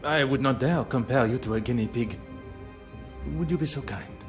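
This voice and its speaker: An actor playing a formal, courteous foreign detective with a French-speaking Belgian accent in a video game, precise and probing. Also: male voice, medium pitch, moderate pace, soft background music, close to an everyday voice. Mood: gently probing. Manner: formal, precise, polite phrasing.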